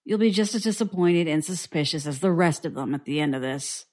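An actress playing a weary, guarded survivor giving dry, reluctant testimony in an audio drama. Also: female voice, deep voice, lively intonation, fairly quick, a little mellow. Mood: irritated, guarded. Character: guarded, weary woman survivor recounting childhood strangeness.

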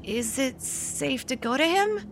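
A woman's voice: high-pitched, animated, a little slow, a little hiss or hum. A woman playing a hesitant, timid eight-year-old girl in a horror audio drama. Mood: timid worry.

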